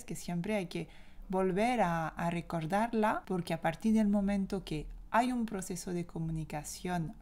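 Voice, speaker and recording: A woman's voice: fairly low pitch, mellow. A French woman with a French accent, a language teacher hosting her own podcast, talking in a reflective, opinionated and conversational way. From France. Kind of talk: solo podcast monologue.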